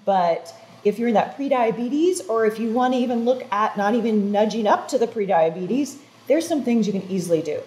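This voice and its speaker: A woman's voice: a little high, a little fast, rough recording. An older woman, a wellness guest on a studio talk show, explaining health advice earnestly with restarts and "so" and "um", recorded on a lapel mic. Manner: earnest, explanatory, animated hand gestures. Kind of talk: informative interview talk. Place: TV studio set.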